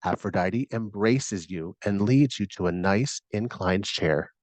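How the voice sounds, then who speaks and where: A man with a fairly low voice leads a guided meditation. He reads scripted instructions and imagery in a soothing, measured way, and the recording is close and dry. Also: a little mellow, no echo, poor audio.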